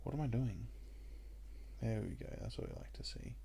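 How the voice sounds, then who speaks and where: A young Australian man with a croaky voice, chatting casually and rambling with lots of ums while he plays a puzzle game. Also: on the low side, normal speed, a little hiss or hum. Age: young adult. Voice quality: croaky, gravelly voice. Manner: rambling and relaxed, with many ums. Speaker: gaming YouTuber. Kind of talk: casual let's-play commentary.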